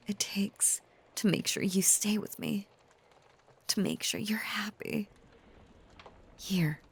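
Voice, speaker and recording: Slow, breathy, possessive werewolf woman, acted by a woman. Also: on the low side, darkish tone, heavily acted. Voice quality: breathy, often whispered. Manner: slow, halting, intense confiding delivery. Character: obsessive, possessive female werewolf lover, tender yet menacing. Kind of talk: intimate audio roleplay monologue.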